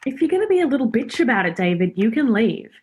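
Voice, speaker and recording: A woman's voice: on the high side, very animated, fast, darkish tone, breathy edge. An actress in a radio play playing a teasing, blunt young woman, a devoted girlfriend and sister, in a naturalistic style. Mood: exasperated.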